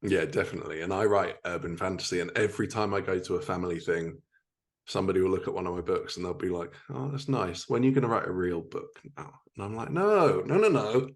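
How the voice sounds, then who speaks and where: A man's voice: low-pitched, expressive, quick pace. A man hosting a podcast, chatting in an informal, encouraging way with his guest. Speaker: podcast host. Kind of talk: casual interview chat.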